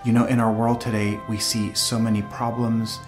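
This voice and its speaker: A man's voice: fairly low pitch, flat delivery, music in the background. Earnest man hosting devotional videos. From El Salvador. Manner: earnest, reverent, unhurried. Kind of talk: devotional storytelling and reading aloud.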